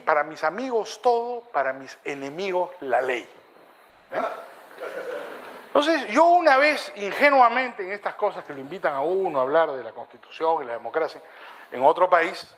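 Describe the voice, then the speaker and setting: A man's voice: on the high side, poor audio. An older man lecturing on law, telling anecdotes with rhetorical asides. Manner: animated storyteller, rhetorical asides. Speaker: law professor.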